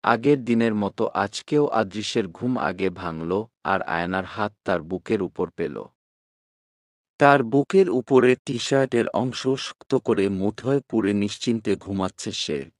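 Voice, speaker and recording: A man's voice: lowish voice, animated, measured pace, no room sound, clean studio sound. A man narrating a scripted romantic story expressively.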